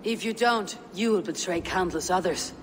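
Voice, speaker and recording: An actress playing a steady Viking woman leader who speaks calmly, bluntly and dryly in game cutscenes. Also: on the low side, normal speed, in a large hall, background noise, close to an everyday voice. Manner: calm, dry, blunt. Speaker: game protagonist.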